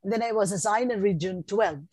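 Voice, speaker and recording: A woman in her sixties with a Filipino accent, recalling her long career in measured, hesitant speech. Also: medium pitch, a little mellow, husky, no room sound. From Philippines, Mindanao. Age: 60s. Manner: measured, hesitant with many fillers. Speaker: retired education official, guest. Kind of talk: reflective interview answers.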